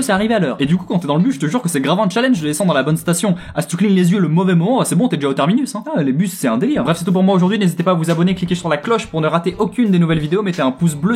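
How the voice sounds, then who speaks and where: A man's voice: sits high, darkish tone, slightly rough. A man in his twenties with an accent from France, hosting a casual, humorous video blog in a cheeky, slangy way, addressing viewers directly with teasing asides. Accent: French from France. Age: 20s. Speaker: host of a video blog about living abroad.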